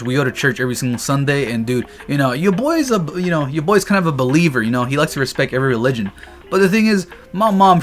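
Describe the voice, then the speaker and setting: A man's voice: fairly high pitch, a bit bright. Slangy young man joking to camera. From Texas, United States. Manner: slangy, joking, loose storytelling. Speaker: vlogger. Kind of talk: casual comedic chat.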